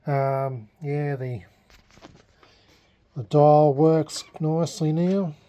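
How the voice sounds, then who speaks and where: Australian male hobbyist vlogger, relaxed dark tone, hesitant and unscripted delivery. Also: a little high, highs cut off. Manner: halting, hesitant, unscripted delivery with many pauses. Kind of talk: casual restoration progress vlog.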